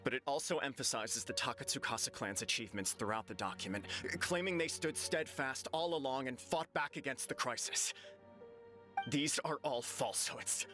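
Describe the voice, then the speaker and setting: An actor voicing a composed male clan elder in a video game, speaking calmly and matter-of-factly. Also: mid-range voice, normal speed, lightly acted. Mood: earnest. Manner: calm, matter-of-fact. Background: game music.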